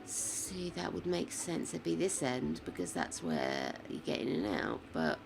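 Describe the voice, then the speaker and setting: An older woman streaming a building game, chatting casually, swearing freely and muttering to herself, her voice croaky from a sore throat. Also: low-pitched, wildly swooping pitch, measured pace, noisy background.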